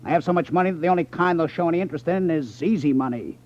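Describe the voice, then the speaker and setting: An actor in a 1950s radio drama playing a smug, menacing gambling boss who talks in a condescending, sardonic way. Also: male voice, fairly high pitch, rapid-fire, a little mellow, natural voice. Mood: scheming, confident. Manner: condescending, sardonic, tough talk. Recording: dull 1950s radio broadcast recording.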